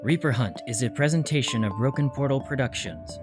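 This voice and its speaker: Man reading credits over loud music. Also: mid-range voice, brisk. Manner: plain, steady listing. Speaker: writer and director reading the credits. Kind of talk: scripted credits narration.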